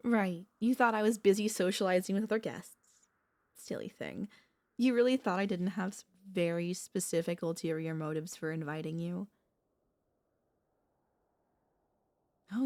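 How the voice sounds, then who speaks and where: Woman voicing a low, intimate vampire mistress. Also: no room sound, lightly acted. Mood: teasing, fond. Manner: intimate, soft-spoken, hesitant pauses, old-fashioned courtly wording. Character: centuries-old female vampire mistress, tender, possessive girlfriend. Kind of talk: ASMR audio roleplay. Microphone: close studio microphone, dry.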